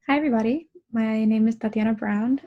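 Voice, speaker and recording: A young college student from Texas introducing herself politely, a little hesitant, on a video call. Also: female voice, a little high, fairly even intonation, quick pace, phone-quality sound. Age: young adult.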